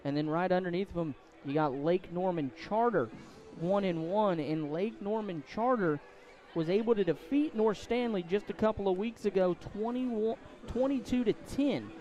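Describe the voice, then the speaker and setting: A man doing excitable live play-by-play commentary of a high school football game, outdoors at the stadium. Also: moderate pace, lo-fi sound, soft background music. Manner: excitable, voice climbs to shouts on big plays. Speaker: play-by-play sports announcer.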